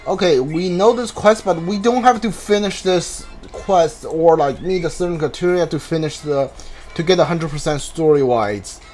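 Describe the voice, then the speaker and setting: A man with a somewhat high voice talks through a video game playthrough. He thinks aloud in a halting, casual way, often repeating words. Game music plays behind him. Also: darkish tone. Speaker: gamer, playthrough host.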